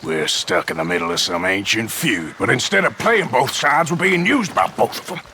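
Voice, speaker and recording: A man's voice: mid-range voice, expressive, moderate pace, bright, forceful tone. An actor playing a skeptical American outlaw gunman in a video game, dry, wary and plain-spoken. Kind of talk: dramatic video game acting. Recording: clearly noisy outdoor ambience.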